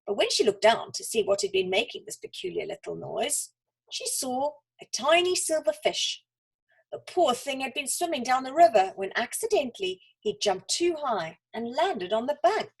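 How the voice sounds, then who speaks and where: A woman's voice: sits high, big pitch swings, normal speed, gravelly, slightly rough, rough recording. A woman reading a children's story aloud as a storyteller. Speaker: school storyteller.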